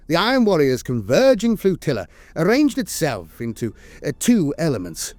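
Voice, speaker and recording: A man reading dramatic scripted lore narration in a theatrical, deliberate way with weighty emphasis. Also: on the high side, no echo. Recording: music bed behind a dry voice. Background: music.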